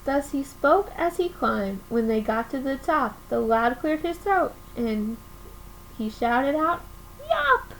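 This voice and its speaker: A woman reading a children's storybook aloud as a teacher at story time, in an animated style. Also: high voice, slow, low-quality recording. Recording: faint background noise.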